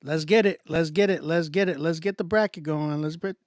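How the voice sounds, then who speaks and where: A man's voice: fairly high pitch, fairly quick, no room sound, studio quality. A man with an American accent hosting a music contest livestream in casual, slangy, repetitive hype talk.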